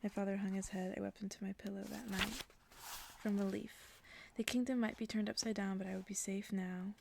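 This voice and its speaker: A woman reading a story aloud as ASMR in an intimate, hushed whisper. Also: mid-range voice, flat delivery, fairly quick, vocal fry, noisy background. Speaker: ASMR reader.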